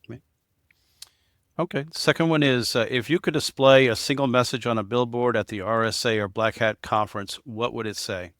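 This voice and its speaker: A man with a medium-pitched voice works as a podcast interviewer, asking questions conversationally. The sound is dry, with hardly any room in it. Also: moderate pace, a bit bright, dry recording. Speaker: podcast host.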